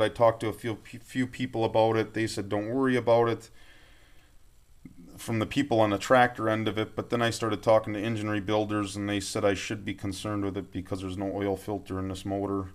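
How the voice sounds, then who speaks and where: A man speaking informally and plainly as he explains his hands-on rebuild project from behind a handheld camera in a workshop. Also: lowish voice. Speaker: amateur tractor rebuilder presenting a project update.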